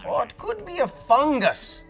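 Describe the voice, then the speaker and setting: A man's voice: very high-pitched, sing-song, measured pace, a bit bright, faint music behind, voice pushed up, heavily acted. A self-important doctor from a storybook announces his guesses with great authority, as a man puts him on. His voice climbs and falls with flourish. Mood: authoritative.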